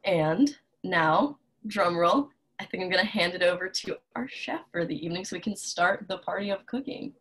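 Young woman, slightly low voice, upbeat host intro on a laptop cam from her bedroom. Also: expressive, fairly bright tone. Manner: upbeat, quick with fillers. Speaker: class organizer and host. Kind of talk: friendly introduction. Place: bedroom at home. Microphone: laptop webcam on a video call.

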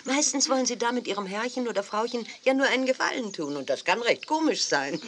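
A woman's voice: a little high, animated, quick pace, a bit bright, slight background noise. An actress playing a kindly, instructive woman dog breeder in a 1980s children's audio play, explaining things expressively.

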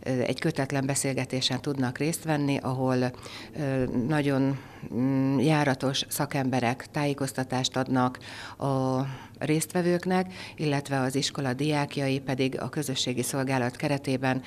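A middle-aged woman giving a formal, measured interview indoors into a handheld microphone, pausing for words. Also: very deep voice, steady tone, a little hiss or hum. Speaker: school head, interviewee. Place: indoors in a furnished room.

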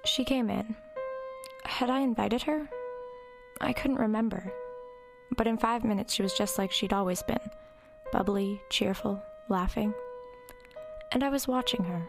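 A detached, brooding teenage girl narrates a horror radio play with obsessive focus. Her middle-pitched voice is hushed and eerie, with clear music underneath. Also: unhurried, long pauses.

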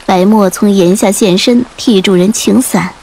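A woman reading a dramatic audiobook story in a measured, literary storytelling style. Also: mid-range voice, darkish tone, slight background noise, poor audio. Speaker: audiobook narrator. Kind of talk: dramatic scripted storytelling narration. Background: faint music.

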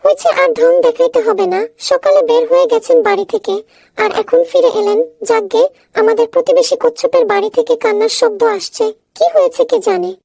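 A woman voicing a nagging little cartoon mother bird who is fed up with her husband, in a squeezed, creaky voice. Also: very high voice, a little fast, warm tone, no room sound, exaggerated voice, rough recording. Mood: scolding. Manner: scolding, complaining.

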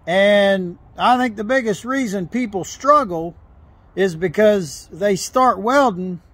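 A man's voice: sits high, slow, fairly bright tone, no room sound. A middle-aged man giving a hands-on welding tutorial outdoors beside a truck, plain-spoken and often restarting his phrases as he explains. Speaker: welder teaching on camera. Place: outdoors by a log truck in a gravel yard.

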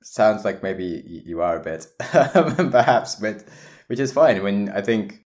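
Casting: young male podcast host, deep voice, laid-back chatty questions. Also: a little slow. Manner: relaxed, chatty, uses "like" a lot. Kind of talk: casual interview chat.

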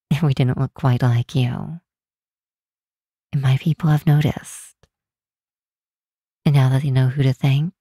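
A woman voicing a kind, protective demon queen in an ASMR comfort roleplay, speaking gently and reassuringly in a measured way, close to the microphone. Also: extremely low pitch, breathy edge, no echo, exaggerated voice. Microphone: close, dry microphone.

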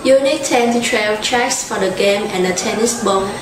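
A woman's voice: medium pitch, moderate pace. An eighteen-year-old woman student from Ho Chi Minh City explaining things step by step in a scripted interview. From Vietnam, Ho Chi Minh City. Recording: very echoey, distant, noisy.

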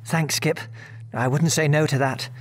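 A man's voice: on the high side, fairly even intonation, unhurried. An actor playing a modest, polite bomber airman in a radio drama, earnest and understated. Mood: eager, deferential.

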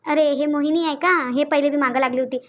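A woman's voice: sits high, steady tone, fast talker, dull audio, close to an everyday voice. A woman voicing a protective, reassuring elder sister-in-law in a Marathi cartoon, comforting repeatedly in Vidarbha dialect. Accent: Vidarbha (Varhadi) Marathi. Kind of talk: Marathi cartoon story dialogue.

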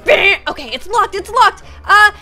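A young woman hosting a game roleplay, bubbly and excitable as she plots. Her voice is very high and bright, bouncing up and down constantly. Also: moderate pace, faint music behind. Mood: scheming. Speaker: gaming channel host. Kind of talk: game roleplay and video outro.

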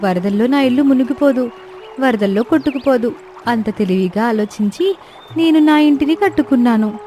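A woman's voice: fairly high pitch, moderate pace, mellow, faint music behind, lightly acted. A woman voicing a clever, proud, earnest young sparrow in a children's cartoon story, speaking with self-assurance. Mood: earnest, explaining.

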